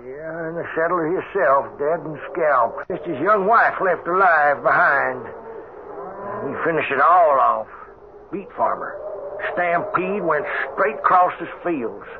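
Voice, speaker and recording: An actor playing a folksy, superstitious cowhand with a rustic American Western drawl in an old-time radio drama. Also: male voice, fairly high pitch, unhurried. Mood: troubled. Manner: drawling rustic cowboy talk. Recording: tinny, noisy old radio recording.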